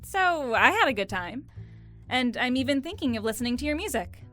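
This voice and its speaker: Casting: actress as wary, wry female schemer for audio drama, higher bright voice, dramatic and distrustful. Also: big pitch swings, brisk, soft background music, full character voice. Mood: playful.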